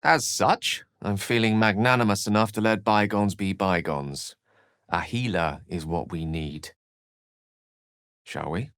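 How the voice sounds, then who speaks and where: Bookish british wizard from a video game, proud n romantic, lowish voice, really dry close recording. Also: male voice, measured pace, a bit bright, clean tone, no echo. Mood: warm, wry. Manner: eloquent, bookish, slightly pompous phrasing. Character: learned, eloquent adult male wizard, proud and romantic. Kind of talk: video game character voice lines.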